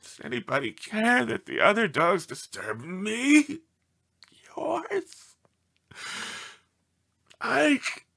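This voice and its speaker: A man's voice: high voice, big pitch swings, drawn-out words, creaky, pauses often, bright, forceful tone, breathy edge, voice pushed up, exaggerated voice. Man voicing a theatrical, wildly swooping aggrieved storybook dog. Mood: defiant, aggrieved. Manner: theatrical, indignant, self-pitying. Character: aggrieved melodramatic pet dog writing pleading letters home. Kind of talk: expressive storybook read-aloud.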